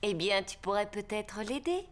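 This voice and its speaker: A woman's voice: medium pitch, animated, moderate pace, fairly bright tone, a little hiss or hum, close to an everyday voice. A woman voicing a kindly, encouraging gazelle nursery teacher in a French-dubbed preschool cartoon. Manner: soothing, encouraging teacher talk. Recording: cartoon music behind.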